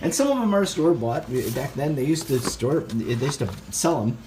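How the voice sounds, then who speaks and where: A man giving a casual show-and-tell in a halting, rambling, enthusiastic way, recorded indoors at home on a handheld phone. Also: a little high, very animated, noisy background. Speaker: vlogger, tape collector. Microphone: handheld phone near the speaker.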